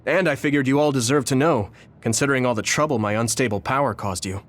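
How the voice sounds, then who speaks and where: An actor playing an earnest, modest young male rebel soldier in an anime game, speaking in a measured way. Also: mid-range voice, close to an everyday voice. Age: young adult. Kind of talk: anime game cutscene dialogue. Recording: studio voice over game music.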